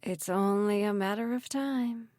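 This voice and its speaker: A woman's voice: medium pitch, measured pace, a little mellow, dry recording, close to an everyday voice. Woman acting a male villain, ruthless mayor, barking, menacing, audiobook drama, dry sound. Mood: cold threat.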